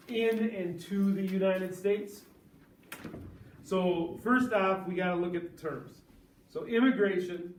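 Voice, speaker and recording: Male teacher, relaxed storytelling lecture style, distant echoey classroom recording, noisy. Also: on the high side. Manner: casual, chatty storytelling to students.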